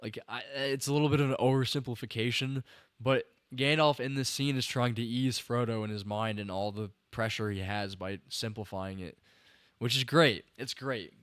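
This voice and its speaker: A man's voice: mid-range voice, steady tone, moderate pace, a bit bright, smooth, no echo, clean studio sound. A young man hosting a livestream, rambling through a film breakdown with restarts while answering chat, and slightly tired. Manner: rambling, restarts sentences, talks to chat viewers. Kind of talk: casual enthusiastic film commentary to chat.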